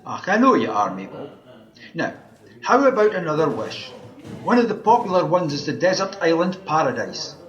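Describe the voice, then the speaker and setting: On a slightly dull, lo-fi track, a man acts a wry, exasperated Scottish granter of wishes in a radio play. His voice is high for a man, with swooping intonation. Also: big pitch swings, moderate pace, fairly bright tone. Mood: wry, patient. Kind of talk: amateur radio play acting.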